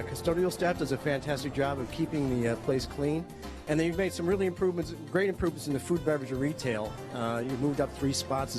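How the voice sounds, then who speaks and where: A man giving a casual congratulatory speech at an award event, filling pauses with "uh". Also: mid-range voice. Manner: casual, with many "uh" fillers. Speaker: guest speaker presenting an award.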